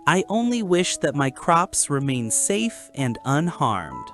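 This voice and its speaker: A woman voicing a humble, honest village farmer, a soft-spoken man in a children's storybook. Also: low-pitched, big pitch swings, takes it slowly, faint music behind, pitched below the performer's own voice, exaggerated voice. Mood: humble, earnest.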